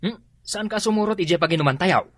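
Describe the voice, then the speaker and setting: A man's voice: sits high, a little fast, a bit bright. A man with an Ilocano accent acting a teasing drinking buddy in a radio drama.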